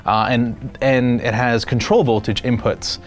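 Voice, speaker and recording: A man explaining technical gear in a conversational product demo, with halting, hesitant phrasing. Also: mid-range voice, steady tone. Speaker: product demonstrator and synth expert. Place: small studio room, indoors.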